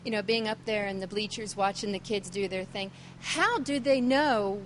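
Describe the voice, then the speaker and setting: A woman's voice: medium pitch, normal speed, a bit bright, slight background noise. A woman interviewing a guest for local TV, speaking casually with many fillers and restarts.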